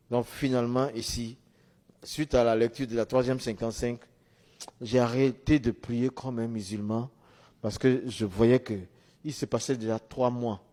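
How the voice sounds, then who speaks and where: A man's voice: mid-range voice, dark tone. A middle-aged man, not a native French speaker, giving a religious testimony as a story, emphatically and in short repeated phrases. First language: a language other than French, Arabic or English. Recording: long hall reverb.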